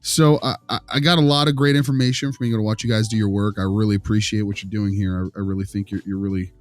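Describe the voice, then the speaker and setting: A man playing a friendly, tactful school consultant in a role-play, halting and often rephrasing his questions. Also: lowish voice, fairly even intonation, dry recording, soft background music, lightly acted. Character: friendly, tactful male school behavior consultant probing gently. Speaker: visiting behavior consultant.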